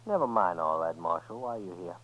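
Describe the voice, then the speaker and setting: A man's voice: deep voice, lively intonation, fairly quick, fairly bright tone. A man playing a surly, suspicious American gunman in a 1950s radio western, curt and folksy in his speech. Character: surly, suspicious male Old West gunman. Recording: dull vintage radio recording.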